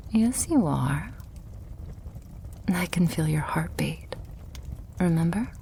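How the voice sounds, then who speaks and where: A woman playing a loving, possessive vampire girlfriend in an intimate ASMR roleplay, tender and hushed, with breathy sighs between phrases. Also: low-pitched, a little hiss or hum, heavily acted. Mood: tender, soothing.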